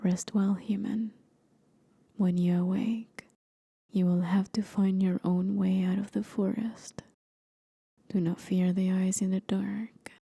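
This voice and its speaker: Woman as a slow, whispering, gentle forest demon. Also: mid-range voice, little pitch movement, dry recording, heavily acted. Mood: tender, hushed. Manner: slow, soothing, breathy half-whisper. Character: gentle, aged, mysterious female forest demon with tail. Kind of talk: ASMR roleplay, whispered and intimate.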